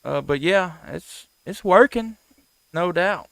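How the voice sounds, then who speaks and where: A man from talks slowly in a smooth voice with some fry in it. He is a folksy, friendly ham radio operator, chatting casually and calling stations on a live stream. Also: high-pitched, fairly bright tone, no room sound, poor audio. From United States.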